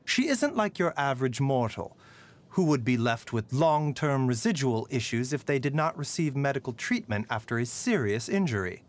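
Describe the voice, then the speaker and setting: An actor playing a polite, gently wry and weary pharmacist in a video game, with measured, mildly teasing phrasing. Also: male voice, mid-range voice, big pitch swings. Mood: measured, courteous. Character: soft-spoken, courteous male pharmacist, gently wry and weary. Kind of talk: video game character voicelines. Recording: voice over background music.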